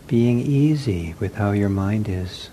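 A man teaching a guided meditation in gentle, soothing short phrases with long pauses. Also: lowish voice, animated, a little hiss or hum.

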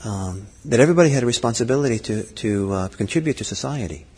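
A middle-aged man giving a Buddhist dharma talk, thoughtful and halting, with frequent fillers and restarts. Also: mid-range voice, animated, a little mellow, husky. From Norway. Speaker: Buddhist teacher. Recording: long hall reverb.

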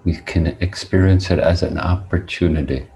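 Male meditation teacher, low gravelly voice, deliberate phrasing with long pauses. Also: warm tone. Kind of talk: guided meditation talk.

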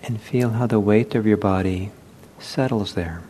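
Guy teaching meditation, soft mellow low voice, gentle n halting, bit of rumbly noise behind. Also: rough recording. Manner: unhurried, gentle, halting with pauses. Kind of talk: guided meditation instruction.

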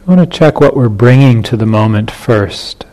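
A man leading a guided meditation as a teacher, speaking in short phrases with long pauses between them. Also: mid-range voice, expressive, a little mellow.

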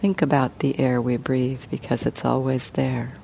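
Mellow low-key woman guiding meditation. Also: little pitch movement, creaky, a little hiss or hum, rough recording. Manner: slow, gentle delivery with long pauses. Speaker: meditation teacher. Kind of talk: guided meditation.

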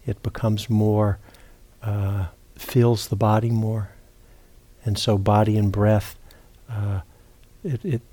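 A man teaching meditation, giving guided instruction in a halting, unhurried way with long pauses and repeated words. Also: on the low side, dark tone. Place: meditation retreat center.

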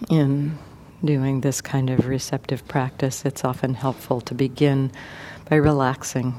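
A woman meditation teacher leading a guided meditation gently and without hurry, leaving many pauses. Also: extremely low pitch, level tone, slight background noise.

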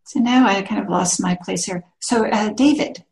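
A woman's voice: mid-range voice, normal speed, creaky, darkish tone. A woman hosting an online meditation class, calling on participants by name to introduce themselves. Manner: calls on participants one by one, unmuting them. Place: video call.

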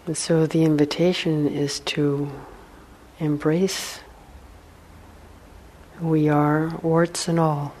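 A woman meditation teacher leading a guided meditation in a hushed, soothing manner, with long pauses between short phrases. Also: deep voice, little pitch movement, rough recording. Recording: faint background noise.

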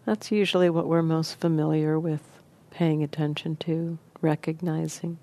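A woman leading a guided meditation as a teacher, speaking in unhurried, sparse phrases with long pauses. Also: low voice, little pitch movement, dark tone.

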